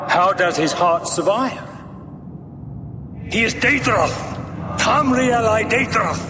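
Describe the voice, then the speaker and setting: Slow, pompous cult leader in echoing reverb. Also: male voice, a little high, background noise. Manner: pompous, measured, oratorical. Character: grandiose zealous male cult leader preaching dark prophecy. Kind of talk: theatrical fantasy game monologue. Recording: echoey hall reverb, music behind.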